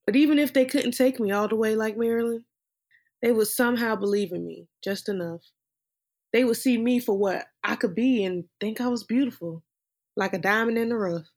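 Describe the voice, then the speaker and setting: A woman performing a film monologue as a wistful dreamer recalling lost hopes of stardom, in a reflective, drawn-out delivery. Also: a little high, animated, darkish tone. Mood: hopeful. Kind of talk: dramatic film monologue reading.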